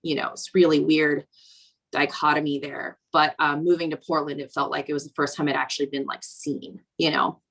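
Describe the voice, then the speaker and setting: Casting: young woman, low voice with a gravelly edge, thoughtful, filler-heavy. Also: level tone, fairly quick, fairly bright tone. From Virginia, USA. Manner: reflective, with many fillers like "um" and "you know". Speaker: snowboarder interviewee. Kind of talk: documentary interview.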